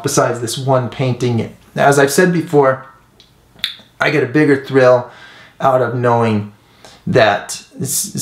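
An earnest man in his thirties hosts a casual video, speaking to viewers in a mid-pitched voice. He talks conversationally, hesitating as he searches for words. The sound is roomy and echoey, as if the mic sits a few feet away indoors. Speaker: host, mural painter and instructor. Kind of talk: casual talk to camera, answering viewer comments. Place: indoors, room with painted mural wall.

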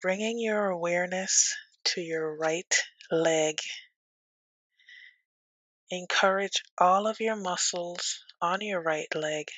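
A woman teaching yoga nidra as a guided meditation, speaking gently and soothingly with long pauses. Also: on the low side, dry recording, low-quality recording.

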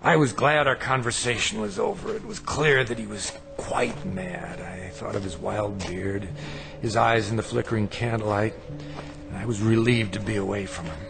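Uneasy, skeptical traveler over music. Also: male voice, on the low side, moderate pace, gravelly. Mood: brooding. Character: uneasy, skeptical male traveler telling his eerie tale. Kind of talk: radio drama dialogue and first-person narration. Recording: music bed behind the voice.

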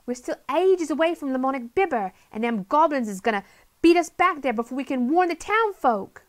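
A woman voicing an excitable, brave young girl who squeals with excitement. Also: sits high, brisk, voice pushed up. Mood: urgent.

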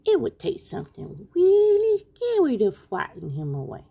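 A woman voicing an innocent but scheming small male canary in a children's storybook, with drawn-out, childlike speech. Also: very high-pitched, wildly swooping pitch, creaky, lo-fi sound, pitched above the performer's own voice, exaggerated voice.

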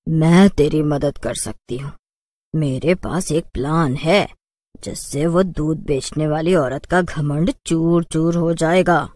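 Woman acting a cartoon witch, sly, commanding, drawling, lowered pitch, mocking. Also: slow, a little mellow, dry recording. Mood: scheming.

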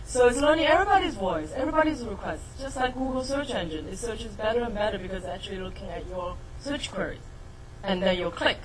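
A woman's voice: medium pitch, lively intonation, fast talker, low-quality recording. Middle-aged chinese lady lecturing on tech, keeps asking "right?". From Shanghai, China. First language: Mandarin. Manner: explanatory, asks audience to confirm. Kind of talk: technical talk to an audience.

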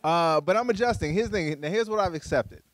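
A man in his forties doing a stand-up comedy set on a small studio stage with a handheld mic, talking conversationally with playful asides and high-pitched voices for punchlines. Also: lively intonation, brisk, a little hiss or hum. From Chicago, USA. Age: 40s.

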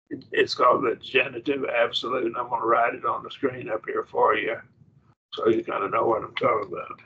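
A man with an Oklahoma accent teaching a Greek grammar class over a video call, explaining in halting restarts and checking that students follow. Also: mid-range voice, a bit bright, breathy edge. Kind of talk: online class lecture. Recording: dull lo-fi video call audio.

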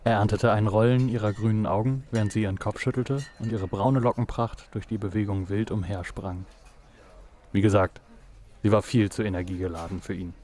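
A man's voice: fairly low pitch, steady tone, brisk, mellow. A man reading scripted narration for a noir audio drama.

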